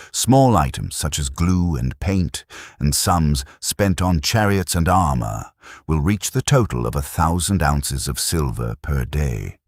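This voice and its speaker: A man reading a classic text as a scripted audiobook, in a measured, deliberate way. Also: deep voice, no room sound, clean studio sound. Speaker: audiobook narrator.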